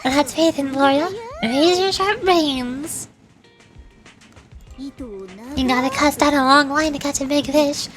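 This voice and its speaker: A woman's voice: sits high, fairly even intonation, slow pace, pauses often. A woman voicing a perky, scheming young cat girl mercenary, chatty and cajoling, reading game dialogue. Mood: persuasive, upbeat. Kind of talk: video game dialogue read aloud. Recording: loud music behind the voice. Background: game music.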